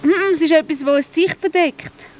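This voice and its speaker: A woman's voice: very high voice, fairly quick, a little hiss or hum. A woman voicing a brave, wary fugitive creature in a children's radio play, with a Swiss German accent. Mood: uneasy.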